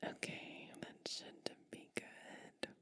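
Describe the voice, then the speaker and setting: A woman playing a gentle, caring witch in an ASMR bedtime roleplay, soothing and deliberate in her manner. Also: no room sound. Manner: soft, soothing, slow and deliberate. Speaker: ASMR performer. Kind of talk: whispered ASMR personal attention roleplay.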